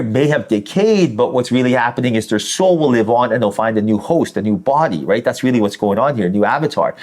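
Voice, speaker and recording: Male tarot reader, mid-pitched, informal and colloquial, talking directly to the listener in an effusive, encouraging way. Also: a little mellow. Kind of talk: informal spiritual reading addressed to the listener.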